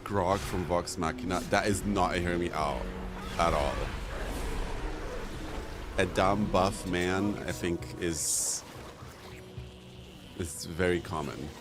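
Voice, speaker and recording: A man with a low voice talks in a chatty, slangy way as he streams a game. His delivery is casual and conversational. Music plays behind him. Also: slow, long pauses. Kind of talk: casual livestream chat while gaming. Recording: loud background music.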